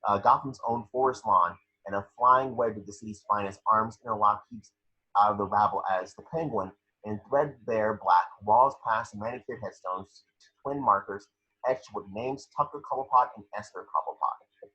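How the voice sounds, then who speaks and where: A man with a husky voice reading scripted scene narration at an online table read. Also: lowish voice, moderate pace, pushed and bright, lo-fi sound. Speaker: scene description reader.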